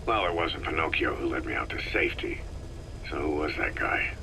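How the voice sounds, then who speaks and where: A gruff soldier, with an extremely deep, gravelly voice. He is terse and guarded, speaking in short questions in a video game scene, on a clearly noisy recording. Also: fairly quick. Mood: guarded, questioning. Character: gruff, terse wounded soldier just back from long coma.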